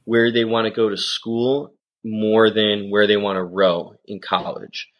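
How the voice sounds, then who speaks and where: Low-voiced man, thoughtful and filler-heavy. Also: flat delivery, unhurried, highs cut off. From United States. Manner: thoughtful, full of "you know" and "um" fillers. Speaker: rowing guest, coxswain and coach. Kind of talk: casual interview answers.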